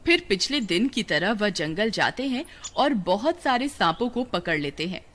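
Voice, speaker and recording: A woman's voice: mid-range voice, sing-song, normal speed. A woman narrating a scripted children's moral story as the storyteller. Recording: loud background noise.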